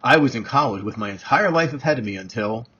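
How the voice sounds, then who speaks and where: A defiant young college woman, read by a man in a story, insistent and indignant. The voice is very husky and the recording is dull. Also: medium pitch, expressive, quick pace, a bit bright, pitched above the performer's own voice.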